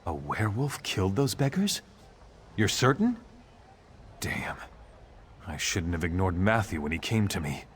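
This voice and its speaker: A stern, duty-bound guard officer from a fantasy video game. The voice is very low and expressive, grave and earnest in delivery, with faint ambient noise behind it. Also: male voice, measured pace, pauses often. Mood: troubled, regretful. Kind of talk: scripted fantasy game dialogue.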